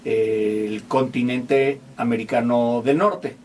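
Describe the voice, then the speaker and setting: A man's voice: medium pitch, fairly even intonation, slow, darkish tone, slight background noise. A man in a played video clip, objecting to and questioning what others claim in a discussion. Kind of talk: debate-style conversation.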